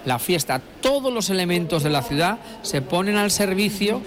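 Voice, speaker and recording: Middle-aged male broadcast commentator, slightly high voice, discursive live delivery, outdoor street broadcast with a crowd. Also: very animated, moderate pace. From La Mancha, Spain. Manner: discursive, many fillers and restarts.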